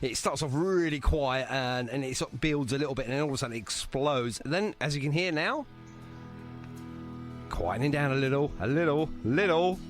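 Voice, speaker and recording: A man's voice: mid-range voice, lively intonation, brisk, soft background music. A man hosting a casual podcast interview, with a jokey, teasing manner. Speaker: host interviewing a band.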